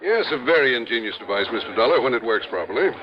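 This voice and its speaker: A proper, easily flustered American bank president, played by a man in a vintage radio play. His fussy, defensive delivery swings widely in pitch, and the recording is old and muffled. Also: animated, fast talker, crowd noise, natural voice. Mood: proud, explanatory. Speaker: actor. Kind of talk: 1950s American radio drama acting.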